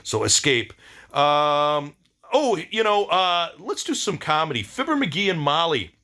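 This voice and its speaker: A man's voice: a little high, big pitch swings, a little slow, sharp tone. A man giving a casual, rambling diary-style monologue, enthusiastic and informal, with some slang. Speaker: video diary host.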